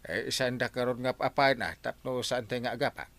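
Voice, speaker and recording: A man voicing a blustering middle-aged village captain in a radio comedy drama, his delivery excitable and up and down. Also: medium pitch, moderate pace, vocal fry, no echo. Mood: exasperated.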